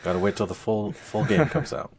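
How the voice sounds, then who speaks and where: A man's voice: fairly low pitch, lively intonation, moderate pace, darkish tone, a little hiss or hum. A man co-hosting a video game podcast, talking in a casual conversational style.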